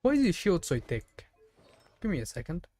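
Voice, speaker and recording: A man's voice: a little high, huge pitch swings, normal speed, mellow, no room sound. A man giving casual live gameplay commentary, chatting playfully to the characters and enemies as he plays. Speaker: video game streamer.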